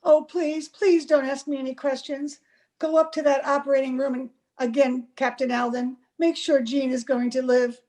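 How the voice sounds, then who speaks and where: Woman acting a high-voiced, distraught young woman. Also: level tone, moderate pace, darkish tone, lightly acted. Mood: pleading, anxious. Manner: pleading, melodramatic. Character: frightened, distraught young woman, a haunted witness. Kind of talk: old-time radio horror acting. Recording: home video-call recording.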